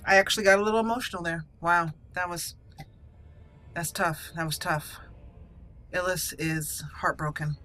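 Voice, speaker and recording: A woman's voice: lowish voice, steady tone, normal speed, long pauses, pushed and bright, faint music behind. A woman giving casual roleplay commentary as she plays through a video game. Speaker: gaming channel host.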